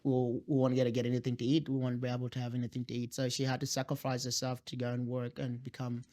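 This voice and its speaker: A young man from South Sudan, a native Dinka speaker, recounts his past as an interview guest. He talks in a flat, even tone and often stops and starts with fillers. His pitch sits at an ordinary middle height. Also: fast. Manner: hesitant, many fillers and restarts. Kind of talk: interview storytelling.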